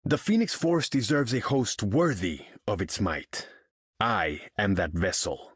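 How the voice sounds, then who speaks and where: A haughty sovereign of the seas from a video game. The voice is fairly low and rough-edged, swinging widely in pitch. The speech is formal and grandiose, as from a throne. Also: male voice, animated, unhurried, no room sound, clean studio sound. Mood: imperious, menacing. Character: proud, imperious undersea king of an ocean empire. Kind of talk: video game character voice lines.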